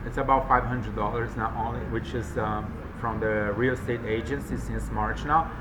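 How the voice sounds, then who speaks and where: A man's voice: mid-range voice, background noise. A man born in Argentina but raised abroad, talking in a rambling, hesitant monologue with many restarts. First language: not Spanish. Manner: hesitant, repeats words, restarts often. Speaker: vlogger.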